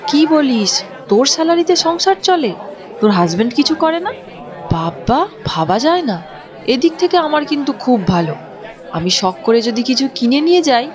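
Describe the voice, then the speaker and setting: A woman's voice: sits high, lively intonation, moderate pace, some chatter behind. A woman voicing a chatty, boastful young office friend in a cartoon. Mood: surprised, boastful. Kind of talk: Bengali cartoon voice acting.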